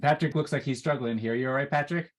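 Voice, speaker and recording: A man's voice: medium pitch, fast. A man hosting a video call meeting, thanking people and joking in a friendly way. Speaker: meeting host. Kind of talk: casual video call chat.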